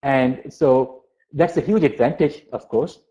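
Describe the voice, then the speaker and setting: A man who grew up speaking Urdu and English, giving an informal academic webinar lecture as a professor, haltingly, often repeating words and restarting phrases. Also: medium pitch, mellow. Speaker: university professor of applied linguistics giving a webinar. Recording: dull, lo-fi webinar audio.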